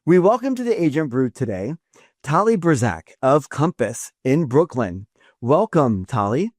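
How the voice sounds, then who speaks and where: A man hosting a podcast interview in a studio, often halting and repeating words as he thinks. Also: mid-range voice, very animated, a little mellow, no echo. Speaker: podcast host. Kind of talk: interview with a scripted intro.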